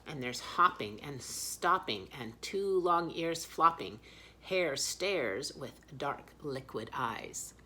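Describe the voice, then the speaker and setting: A woman's voice: sits low, animated, slow pace, bright, forceful tone, slight background noise. A woman reading picture books aloud expressively as a children's storyteller.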